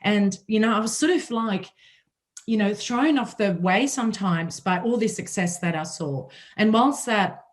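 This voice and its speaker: Raspy german-accented woman hosting a panel, chatty and personal. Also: mid-range voice, expressive, a little slow. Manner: conversational, telling her own story. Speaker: host.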